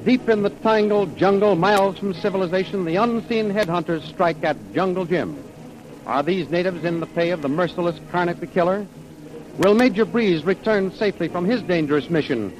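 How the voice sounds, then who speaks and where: A man announces a 1940s-style radio adventure serial. His voice is pitched high for a man. The delivery is declamatory and dramatic, on an old radio recording. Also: brisk, music in the background, poor audio. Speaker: announcer and narrator.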